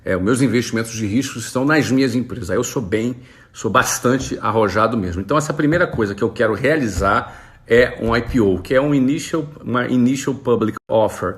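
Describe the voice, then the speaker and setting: A Brazilian man in his forties, an entrepreneur talking casually to camera and answering follower questions in a relaxed, conversational way. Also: medium pitch, fairly quick. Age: 40s. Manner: relaxed, conversational, with frequent fillers.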